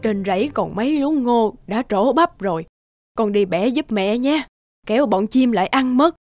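A woman voicing a poor, weary, self-sacrificing village mother in a children's folk-tale cartoon, gentle and plaintive. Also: on the high side, lively intonation, moderate pace, dry recording, natural voice. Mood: weak, pleading. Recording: music behind the voice.

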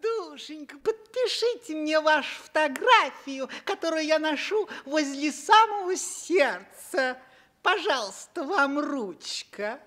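An actor playing an excitable, gushing male clown in a theatrical comic radio play. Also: extremely high pitch, a little slow, exaggerated voice. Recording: noisy old radio recording.

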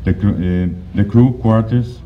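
A man giving a conference slide presentation, speaking haltingly with frequent restarts and fillers. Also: fairly low pitch. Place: conference hall. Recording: dull, lo-fi recording with faint noise.

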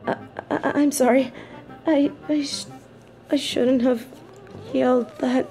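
Affectionate demon girlfriend voiced by an actress, worn out after work, warm low-key delivery, soft music bed. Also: steady tone, takes it slowly. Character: sweet tired female demon girlfriend, affectionate. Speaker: voice actor in an audio roleplay. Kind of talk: intimate audio roleplay.

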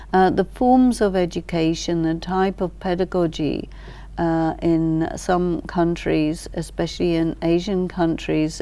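Older woman, lowish soft-edged mellow voice, explains stuff slowly and carefully, interview guest. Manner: measured, deliberate, pausing between short phrases. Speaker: expert guest, teacher. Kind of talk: thoughtful explanatory interview talk. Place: large library hall, indoors. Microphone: lapel mic.

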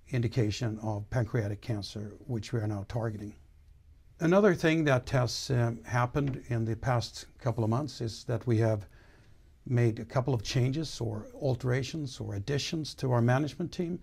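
Older board chairman with a gravelly voice: formal, measured studio address on a lapel mic. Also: mid-range voice, darkish tone. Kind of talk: prepared corporate address. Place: studio against a dark backdrop.

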